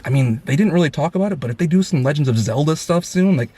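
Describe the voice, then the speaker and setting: A man's voice: on the high side, animated, quick pace, mellow, husky. A man hosting a casual film review chat, talking in an enthusiastic, effusive way.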